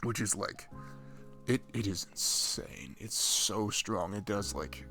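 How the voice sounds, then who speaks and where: A man's voice: fairly low pitch, lively intonation, slow, bright, forceful tone, faint music behind, exaggerated voice. A man playing a shy, dorky best friend in an ASMR roleplay, chatting casually in a rambling, stammering, nerdy way. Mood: nerdy, excited. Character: dorky shy young male best friend, playful gamer.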